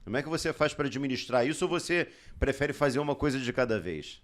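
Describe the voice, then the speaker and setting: A man hosting a podcast who asks his guest questions in a halting, casual way, restarting his phrases. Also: medium pitch. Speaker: podcast host and journalist.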